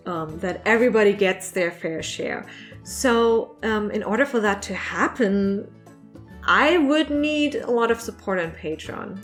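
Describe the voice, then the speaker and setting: A woman hosts a casual question-and-answer vlog in an average-pitched voice. She talks in a loose, rambling, informal way and pokes fun at herself. Quiet music plays behind her. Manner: rambling, informal, self-deprecating. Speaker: online creator and channel host. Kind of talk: casual chatty Q&A vlog to camera.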